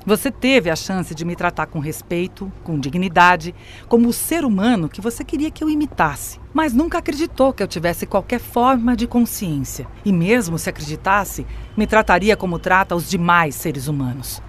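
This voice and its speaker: A woman's voice: on the low side, lively intonation, normal speed, a little hiss or hum, lightly acted. An actress playing a scornful, grandiose artificial intelligence in an audio drama, mocking and domineering as she turns on her creator. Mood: contemptuous.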